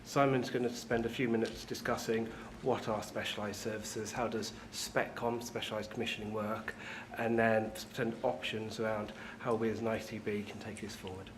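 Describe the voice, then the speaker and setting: A man at a board meeting giving background and introducing a colleague in a measured, explanatory way. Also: lowish voice, flat delivery. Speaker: board member introducing a speaker. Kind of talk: formal meeting talk. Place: meeting room. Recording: noisy meeting room.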